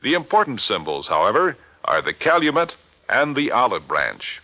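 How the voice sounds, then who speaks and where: Man doing measured old-radio narration, bright tone, muffled sound. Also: medium pitch, big pitch swings, gravelly. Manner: measured, stately reading. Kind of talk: scripted narration.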